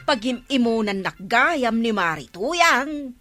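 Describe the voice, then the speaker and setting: A meddling neighbour with an Ilocano accent in radio comedy. The voice is slightly high and bright, over faint music. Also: female voice, expressive, slow pace, full character voice. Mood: insistent.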